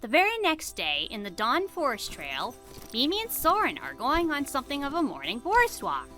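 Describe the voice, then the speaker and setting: A woman delivers scripted story narration with theatrical suspense. Her voice is fairly high and bright, with very expressive rises and falls. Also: normal speed, soft background music.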